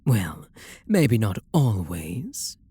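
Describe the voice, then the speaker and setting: A man playing a refined, possessive vampire lord lover in an intimate ASMR roleplay, with a British accent and a soft, breathy, seductive murmur. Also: lowish voice, huge pitch swings, extremely slow, no echo, exaggerated voice. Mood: possessive, flirtatious. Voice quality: breathy, gravelly.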